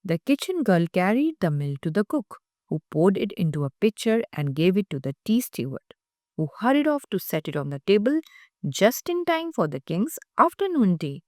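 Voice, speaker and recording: A woman's voice: mid-range voice, very animated, moderate pace, a little mellow, no echo, studio quality. A woman reading a children's picture book aloud as scripted story narration.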